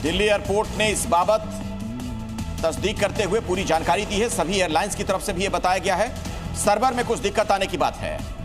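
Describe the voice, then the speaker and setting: A man's voice: high voice, lively intonation, a little fast, over music. A man presenting breaking news live as an anchor.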